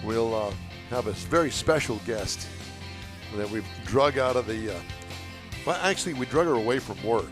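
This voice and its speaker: A man's voice: mid-range voice, expressive, normal speed, music in the background. A man hosting a car talk show, chatting casually with folksy, joking banter into a headset mic. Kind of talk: casual live radio chat. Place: remote show setup at a car event. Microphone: headset microphone.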